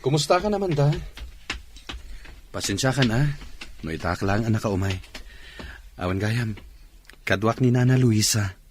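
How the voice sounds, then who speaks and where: An actor playing an earnest, respectful young man and devoted suitor in a radio drama, with a husky voice. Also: lowish voice, lively intonation, a little slow, pauses often, a little hiss or hum. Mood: concerned.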